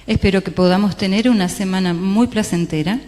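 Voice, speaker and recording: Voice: medium pitch, brisk, a little mellow. An interpreter renders a religious talk phrase by phrase, with small hesitations along the way. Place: large church hall. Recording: long hall reverb.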